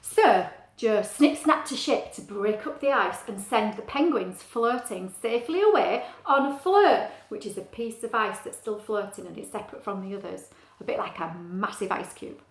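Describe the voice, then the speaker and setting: A woman's voice: medium pitch, lively intonation, moderate pace. A woman reading a picture book aloud to young children, explaining things simply and adding chatty asides. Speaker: story reader for young children. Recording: echoey, roomy sound.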